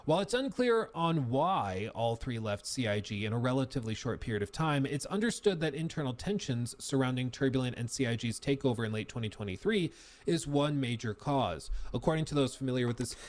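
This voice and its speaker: A man's voice: mid-range voice, lively intonation, brisk. Man reading a news report in a mid-range voice. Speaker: narrator of a news report clip.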